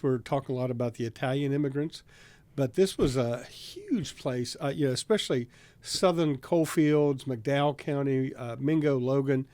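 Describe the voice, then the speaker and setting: A man's voice: mid-range voice, darkish tone, no echo. A man talking casually in a studio conversation, as a writer and public broadcaster introducing a radio drama.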